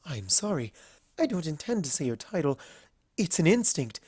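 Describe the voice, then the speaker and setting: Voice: medium pitch, big pitch swings, moderate pace, darkish tone, husky, dry recording, clean studio sound, pitched above the performer's own voice. A performer voicing a refined, courteous, slightly anxious female unicorn pony in a storybook reading, with polite, careful phrasing. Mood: courteous, probing.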